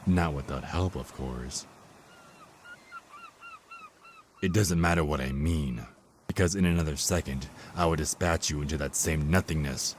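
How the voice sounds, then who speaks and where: A very deep, sneering villainous archangel. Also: male voice, moderate pace, a little hiss or hum. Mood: cold menace. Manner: mocking, sneering, theatrical. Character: cruel, contemptuous male Archangel of Death. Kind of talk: dramatic villain monologue in audio roleplay.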